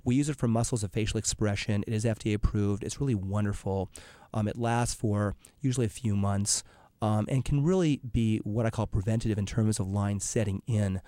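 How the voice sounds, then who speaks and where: A man with a raspy, hoarse voice from laryngitis, explaining cosmetic treatments as a surgeon on a call-in radio show, with frequent ums and restarts. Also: lowish voice, fairly even intonation, fairly quick, dark tone, dry recording. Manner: explanatory, many fillers and restarts. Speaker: dermatologic surgeon, radio show guest expert.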